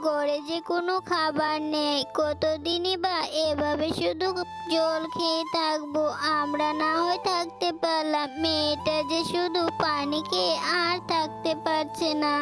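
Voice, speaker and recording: A poor cartoon animal mother, acted by a woman, plaintive and careworn. She speaks in a high voice at a slow pace, with music behind her. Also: little pitch movement. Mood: sorrowful. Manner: lamenting, plaintive. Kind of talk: children's cartoon story voice acting.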